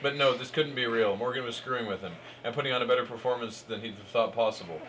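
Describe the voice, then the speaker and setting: A man's voice: mid-range voice, quick pace, a bit bright. A man doing a live comic read-aloud as narrator, stumbling over lines with amusement and cueing his fellow performers, in a noisy live room recording. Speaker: narrator reading fan fiction aloud.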